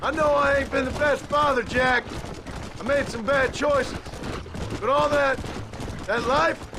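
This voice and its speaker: A gruff, plainspoken reformed outlaw with an American Western drawl, like a weary father in a game cutscene. He is dryly wry and caring. Outdoor horse and animal sounds run clearly behind him. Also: very high voice, fairly even intonation, unhurried, noisy background. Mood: earnest, regretful. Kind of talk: video game cutscene acting.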